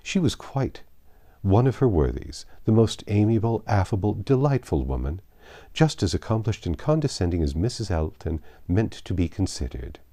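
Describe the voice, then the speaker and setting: A man's voice: on the low side, sing-song, moderate pace, dark tone. A man narrating a classic novel aloud as an audiobook reader. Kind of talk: literary narration.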